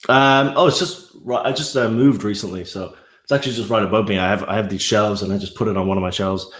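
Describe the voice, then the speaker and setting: A man's voice: fairly low pitch, steady tone, fast, a bit bright, roomy sound. A man from Ireland with a mild Irish accent, chatting casually as an interview guest, rambling with many restarts. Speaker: YouTube narrator guest.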